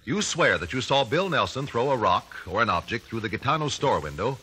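An actor playing a methodical, confident trial lawyer in an old-time radio drama, American, questioning formally and precisely. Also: male voice, mid-range voice, very animated, a little fast, bright, forceful tone, slight background noise. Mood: probing. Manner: precise, formal cross-examining. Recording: raspy vintage 1950s radio recording.

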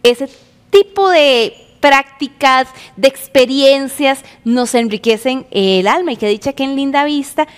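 A Costa Rican woman in her thirties gives informal commentary as a TV presenter. Her voice is high, with long reverb from the studio around it. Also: a little slow. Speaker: television presenter. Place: indoor television studio.

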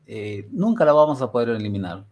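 A man's voice: medium pitch, sing-song, fairly quick, highs cut off, a crowd in the background. A middle-aged man hosting a casual video-call interview on a headset, talking reflectively and slipping into Portuguese-flavored Spanish. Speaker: podcast host. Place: home studio room.

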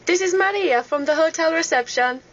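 A woman's voice: very high-pitched, a little fast, fairly bright tone, a little hiss or hum. A woman voicing a fake Spanish female hotel receptionist, speaking with prim, scripted politeness. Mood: polite. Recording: dull radio studio sound.

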